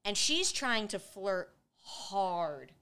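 A woman chatting casually as she recaps a film with a co-host, laughing often and slipping into quick put-on lines for the characters. Her voice sits at an ordinary middle pitch. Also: big pitch swings, bright, forceful tone, smooth. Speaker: podcast co-host. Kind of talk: casual movie recap chat.

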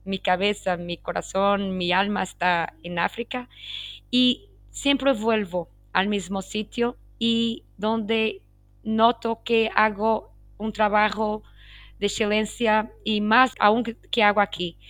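A woman's voice: mid-range voice, fairly even intonation, takes it slowly, fairly bright tone, no echo. Brief: Portuguese-accented woman, Portuguese native, heartfelt guest, dry close sound. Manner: heartfelt, repeats words as she searches for them. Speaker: guest pharmacist. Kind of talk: casual conversation.

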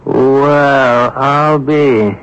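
A man playing a shrewd, composed amateur detective in an old-time radio mystery, speaking in a measured, inquisitive way. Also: mid-range voice, steady tone, fairly bright tone, smooth. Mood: curious, musing. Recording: dull, lo-fi old radio recording.

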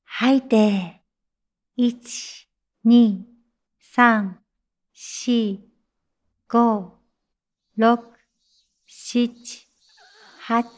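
An actress as a female teacher guiding meditation in an audio drama. Her voice sits on the high side and moves extremely slowly. She is soothing and insistently calm, with measured, gentle instructions. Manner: measured counting, hushed reassurance, gentle instructions.

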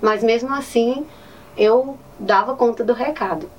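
A woman's voice: fairly high pitch, a little slow, dull audio. Earnest woman with a Northeastern Brazilian accent. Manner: earnest, often adds "né". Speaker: teacher and poet, interview guest. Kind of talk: interview answers. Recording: distant mic with faint ambient noise.

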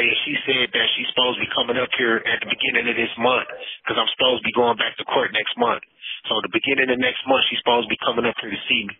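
Husky man on a muffled phone line. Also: mid-range voice. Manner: earnest, repeats "man" and "you know". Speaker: incarcerated storyteller giving testimony. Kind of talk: casual spoken plea over a phone call. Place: phone call from a prison. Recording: muffled lo-fi prison phone call.

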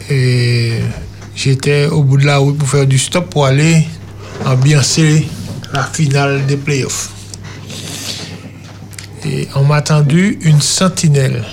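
A man in his fifties from Martinique, giving a radio testimony in a slow, measured way with pauses. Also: mid-range voice, a little mellow, a little hiss or hum. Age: 50s. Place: radio studio. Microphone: studio microphone held a bit far off.

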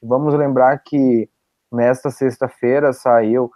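A man's voice: medium pitch, steady tone, takes it slowly, mellow, no echo. A man with a Brazilian accent giving political commentary as a panelist, on a dull, lo-fi recording. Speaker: journalist on a podcast panel.

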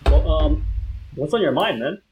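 A man's voice: fairly high pitch, animated, measured pace, darkish tone, lo-fi sound, a little hiss or hum. A man from the United States with an American accent, whose first language is Vietnamese, co-hosting a casual chat and hedging often with "like" and "um". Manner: laid-back, hedges with "like, um". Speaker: podcast co-host.

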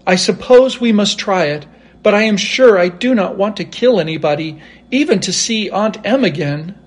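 A man voicing a sad, gentle little girl in a storybook, with a plaintive manner. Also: high-pitched, lively intonation, normal speed, breathy edge. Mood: reluctant.

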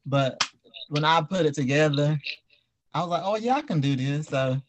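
A man with a fairly high voice hosts a relaxed group conversation. He talks informally and loosely, like he is chatting with friends, as he puts questions to his guests. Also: normal speed, background noise. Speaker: podcast host and audio drama creator. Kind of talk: casual group interview.

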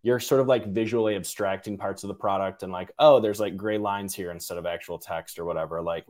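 Low-voiced man hosting a casual interview. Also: fairly even intonation, brisk, a little mellow. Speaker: interview host.

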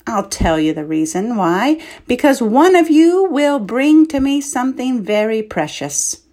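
A woman's voice: fairly high pitch, very animated, a little slow, darkish tone, pitched above the performer's own voice. A woman reading a story, voicing a domineering, scheming king who speaks harshly. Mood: commanding. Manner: commanding, harsh.

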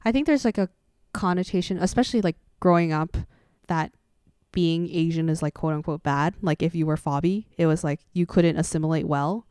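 Mellow, smooth-voiced young woman co-host. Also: low voice, brisk, no echo, clean studio sound. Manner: reflective, thoughtful, frequent "like". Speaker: podcast co-host. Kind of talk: casual podcast chat.

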